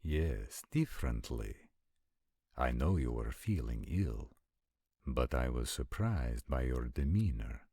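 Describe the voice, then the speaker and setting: A man playing an ancient supernatural warrior in a roleplay audio drama, speaking formally and deliberately with concerned, protective gravity. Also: very deep voice, sing-song, warm tone, slightly rough, no room sound, studio quality, heavily acted. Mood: curious, puzzled. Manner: formal, measured, stilted diction with deliberate pauses. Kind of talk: scripted roleplay audio acting.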